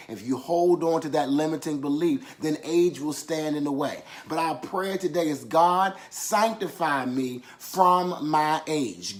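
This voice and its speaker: A man preaching a sermon, repeating key phrases for emphasis and calling on listeners to affirm after him. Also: fairly high pitch. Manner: emphatic repetition with call-and-response affirmations.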